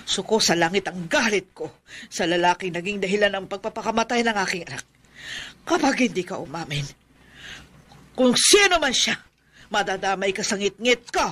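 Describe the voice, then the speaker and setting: A menacing old widow sorceress. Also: male voice, high voice, animated, moderate pace, bright, forceful tone, heavily acted. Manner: menacing, threatening, sinister. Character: vengeful widowed old woman, a bee-wielding sorceress. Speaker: villain in a radio horror drama. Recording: noisy radio drama track. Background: buzzing bees.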